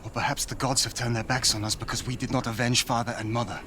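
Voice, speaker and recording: A man's voice: mid-range voice, steady tone, fast, pushed and bright, a little hiss or hum, lightly acted. A man playing an anguished, brooding Norse leader in a video game, heavy and earnest in his delivery. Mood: troubled. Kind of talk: dramatic game acting.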